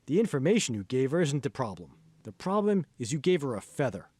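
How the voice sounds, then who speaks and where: Man acting out a male mentor, earnest, instructive, emphatic, animated pitch, audiobook dialogue, dry recording. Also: brisk, breathy edge, dry recording. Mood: emphatic, lecturing. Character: older male mentor Hall, earnest, forceful, lecturing.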